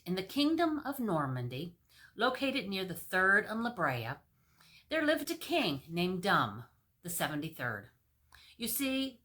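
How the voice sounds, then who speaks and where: A woman reading a comic fairy tale aloud as a storyteller, with expressive delivery and playful asides. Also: mid-range voice.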